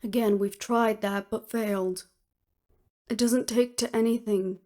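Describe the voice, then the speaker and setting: Woman acting a high-voiced, commanding demon woman. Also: steady tone, unhurried, warm tone, close to an everyday voice. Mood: skeptical. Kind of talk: scripted audio roleplay acting.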